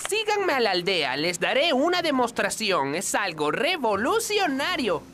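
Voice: mid-range voice, wildly swooping pitch, a little slow, background noise. A performer voicing a proud, eccentric inventor animal in a cartoon, explaining things with self-importance. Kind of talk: animated cartoon dub acting. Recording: music behind the voice.

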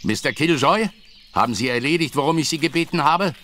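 A man's voice: on the high side, animated, a little fast, a bit bright, a little hiss or hum. An actor playing a cruel, middle-aged aristocratic father in a German audio drama, speaking with scornful command. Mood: contemptuous anger. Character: imperious, cruel aristocratic father, contemptuous and domineering.

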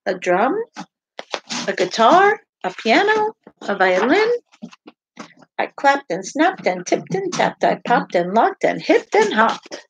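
A middle-aged woman teacher reading a picture book aloud to children, with deliberate sing-song emphasis on repeated words. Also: fairly high pitch, sing-song, slight background noise, low-quality recording. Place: home kitchen, indoors.

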